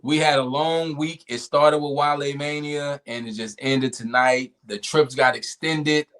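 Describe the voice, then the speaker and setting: Easygoing male podcast host, a bit hoarse. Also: on the high side, measured pace. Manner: laid-back, relaxed sign-off talk. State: losing his voice. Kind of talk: casual podcast chat.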